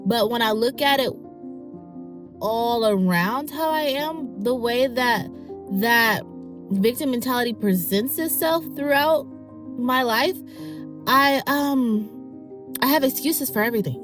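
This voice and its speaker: A woman's voice: a little high, a little slow, long pauses, over music. A young woman with a nasal voice hosting her own podcast, chatty and self-mocking in a casual solo talk. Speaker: podcast host.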